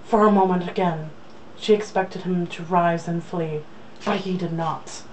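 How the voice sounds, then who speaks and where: Slow-paced young woman, off-mic. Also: lowish voice, fairly even intonation. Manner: joking, irreverent. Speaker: reader. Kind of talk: casual read-aloud of a romance novel with joking asides. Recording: distant mic, faint background noise.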